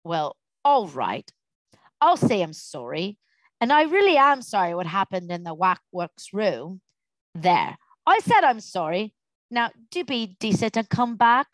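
Woman as a young boy, anxious, pleading, quick to take offence, storybook character, lively intonation, dry sound. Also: mid-range voice, no room sound, poor audio. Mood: grudging apology. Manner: pleading, exclamatory.

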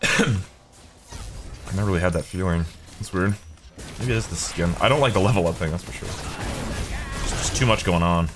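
A man's voice: sits low, normal speed, pauses often, music in the background. A young man giving casual, jokey gaming commentary, slangy and sweary, talking to himself and his viewers. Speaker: video game streamer.

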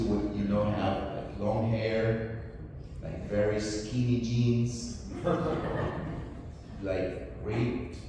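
A Salvadoran missionary in his forties with a Spanish accent, telling his testimony in a church hall on a handheld mic. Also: lowish voice. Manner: earnest, slow storytelling with light laughs. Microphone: handheld microphone picked up from afar. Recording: echoey hall, distant camera, noise.

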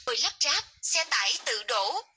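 A woman's voice: high-pitched, big pitch swings, moderate pace, noisy background. A woman narrating scripted, encouraging instructions for a children's game.

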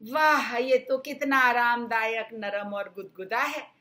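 A woman's voice: fairly high pitch, takes it slowly, bright, forceful tone. A woman voicing a curious, fussy little girl in a storybook, exclaiming expressively. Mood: delighted.

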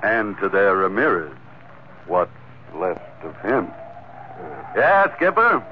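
Loyal wisecracking american ship's mate, old radio play, muffled with noise. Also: male voice, lowish voice, wildly swooping pitch, slow, exaggerated voice. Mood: joking. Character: loyal, joking first mate of a sailing ship. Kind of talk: 1940s radio acting. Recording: muffled, noisy 1940s radio recording.

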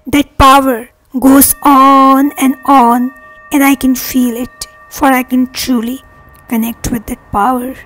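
High, even-toned woman guiding meditation. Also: slow, rough recording. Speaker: meditation guide. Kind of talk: guided meditation affirmations. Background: meditation music.

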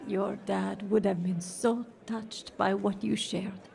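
A gentle older mother in a radio drama. Her voice is soft-edged and mellow with a gravelly creak, and she speaks with tender, reflective faith. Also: medium pitch, fairly even intonation, slow pace. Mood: tender grief.

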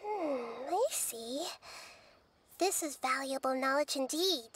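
A woman playing a gentle, wise childlike girl deity in a video game, speaking in a measured, articulate, thoughtful way. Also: high-pitched, animated, full character voice.

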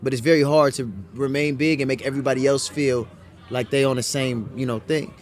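A man's voice: mid-range voice, steady tone, crowd noise. A man in his thirties from Los Angeles, a guest actor reflecting on growing up in a casual podcast chat. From South Central Los Angeles, USA. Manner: reflective, quick-talking. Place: indoor studio set. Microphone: boom mic.

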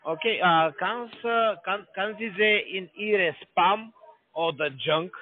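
A man who is not a native German speaker, phoning from a call center, speaking halting broken German and repeating words. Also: high voice, faint music behind. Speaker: scam call center caller. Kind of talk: phone call. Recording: tinny phone line.

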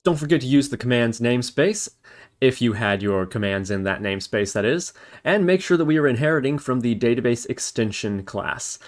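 A man presents a screencast tutorial in a voice of middling pitch. His intonation moves up and down in a lively way as he explains each step in turn. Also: brisk. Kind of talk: instructional screencast narration.

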